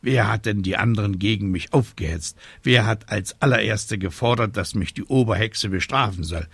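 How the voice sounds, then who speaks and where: A man's voice: fairly low pitch, normal speed, creaky, fairly bright tone, breathy edge, dry recording, full character voice. A man voicing a stubborn, grumbling little witch in a storybook, with emphatic, vengeful delivery. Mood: vengeful, indignant.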